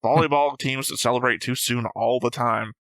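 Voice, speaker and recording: A man's voice: medium pitch, steady tone, moderate pace, a bit bright, no echo. A man in a casual discussion, arguing his points and swearing now and then as he analyses a show. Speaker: host of an anime reaction show.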